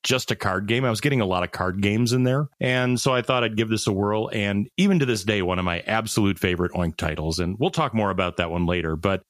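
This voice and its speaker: Low-voiced enthusiastic man on a podcast. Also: fast, few pauses, no echo, clean studio sound. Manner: enthusiastic, storytelling, frequent laughs. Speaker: podcast co-host. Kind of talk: casual podcast chat.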